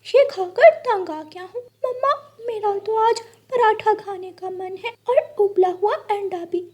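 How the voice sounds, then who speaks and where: A woman's voice: extremely high pitch, a little slow, darkish tone, voice pushed up. A woman voicing a stubborn little boy bird who whines and demands in a children's cartoon story. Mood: whining, fed up. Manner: whiny, demanding.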